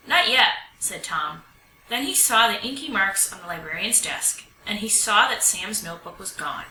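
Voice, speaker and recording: A woman reading a children's picture book aloud in an expressive storytelling manner. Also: medium pitch. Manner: expressive, animated storytelling delivery. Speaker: storybook reader. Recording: roomy echo, distant mic, faint noise.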